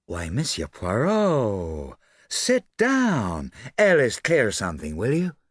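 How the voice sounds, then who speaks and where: A man voicing a vain, self-absorbed glamorous actress in an audiobook, with a husky, breathy, gushing drawl. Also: on the low side, wildly swooping pitch, takes it slowly, dry recording, clean studio sound, pitched above the performer's own voice, exaggerated voice. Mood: gushing, airy. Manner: gushing, childlike, languid drawl.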